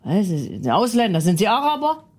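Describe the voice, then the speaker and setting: A woman's voice: medium pitch, big pitch swings, a little fast, noisy background. A woman in a comedy sketch, talking bluntly and brashly, ranting, mocking the other person and laughing often.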